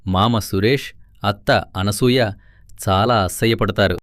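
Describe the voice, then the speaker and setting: A man narrating a scripted storybook tale in a steady way. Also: fairly low pitch, slow. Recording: faint background music.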